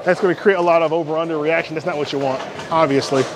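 Higher-voiced American man, noisy bowling alley. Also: fairly even intonation, racing. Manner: conversational, explanatory, talking in short bursts while bowling. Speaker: bowling company CEO, product reviewer. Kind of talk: casual product review and demonstration. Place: large indoor bowling alley. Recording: clattering bowling alley noise. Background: bowling alley clatter and ambience.

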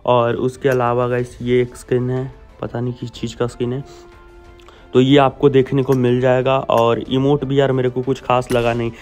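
A man's voice: medium pitch, level tone, normal speed, darkish tone. A young Indian man giving a casual, blunt video game update, talking informally to viewers as friends over a close mic. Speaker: gaming YouTuber. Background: background music.

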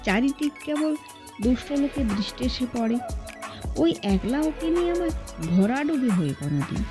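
Resentful aunt in an audio story, read by a woman, fretful complainer, raised pitch, music underneath. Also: big pitch swings, moderate pace. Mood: fretful complaint.

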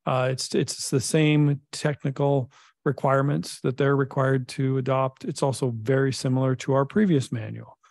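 A middle-aged man, a public works director, presenting a technical report at a council meeting in a measured way with frequent filler pauses. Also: medium pitch, fairly even intonation, creaky, darkish tone, no room sound, studio quality. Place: city council chamber.

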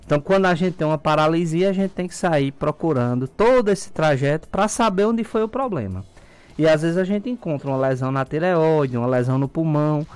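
A man's voice: fairly high pitch, clear, resonant tone. A middle-aged man with a Brazilian accent, an ENT doctor explaining things step by step as a guest in a radio studio, speaking through a face mask. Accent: Brazilian Portuguese. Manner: didactic, calm explaining. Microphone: studio mic, wearing a face mask.

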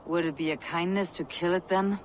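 Game role male actor: guarded warrior god-king, slightly high male voice, terse, resolute, faint background noise. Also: fairly even intonation, fairly quick, lightly acted, low-quality recording. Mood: cold, resolute. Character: guarded, commanding king of gods, armored warrior. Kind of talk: video game cutscene acting.

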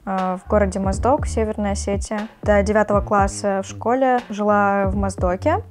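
A woman in her early twenties in a documentary interview, wry and animated, with filler words. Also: medium pitch. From North Caucasus, Russia. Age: 20s.

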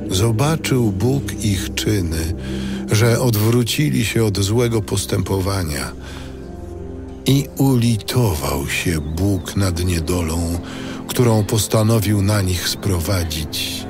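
A man playing a solemn narrator, reading a scripted audio Bible in a measured way. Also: lowish voice, expressive, over music. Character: solemn male biblical narrator.

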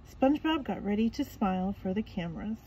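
A woman's voice: medium pitch, animated, a little fast, mellow, slight background noise, poor audio. A woman narrating a scripted children's story as a reader, expressively and with dramatic emphasis.

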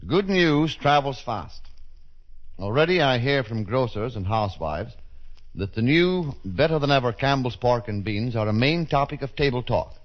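A man's voice: medium pitch, animated, moderate pace. An American man announcing for a sponsor in 1930s radio style. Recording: muffled 1930s radio recording.

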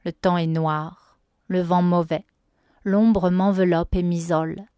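A woman reciting a literary poem with measured, expressive phrasing. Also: lowish voice, clean tone, no room sound, clean studio sound. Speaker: poetry reader.

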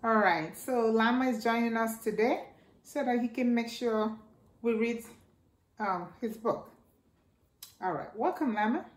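A middle-aged woman reading a children's picture book aloud, slow and expressive, with her voice leaping up for exclamations. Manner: slow, expressive read-aloud, jumping high for exclamations and a toy's voice. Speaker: children's storytime reader. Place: living room by a staircase, indoors. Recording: echoey room.

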